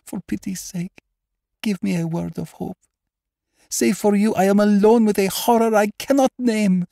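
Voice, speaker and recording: Storybook noblewoman played by a man: haunted and terrified, breathy, quavering, pitched far above his natural voice. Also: measured pace, mellow, dry recording, clean studio sound. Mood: desperate pleading. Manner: tremulous, breathy, dramatic. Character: terrified aristocratic lady haunted by dreadful dreams.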